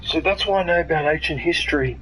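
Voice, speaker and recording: A man's voice: on the high side, quick pace, a bit bright, a little hiss or hum, rough recording. A man, originally from Italy, chatting to viewers while reacting aloud to his game. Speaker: gaming streamer. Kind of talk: casual livestream gameplay commentary.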